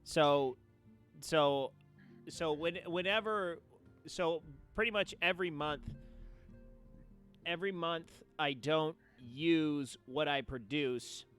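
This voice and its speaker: A man chatting casually on a game livestream at home, with playful, drawn-out phrasing. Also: on the high side, a bit bright. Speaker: game streamer. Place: indoors at home. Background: game music faintly behind.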